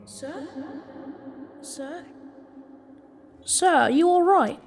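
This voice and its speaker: A woman playing a devoted young boy, earnest and gentle, in a radio play. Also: very high-pitched, expressive, extremely slow, exaggerated voice. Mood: concerned. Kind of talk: theatrical radio-play acting.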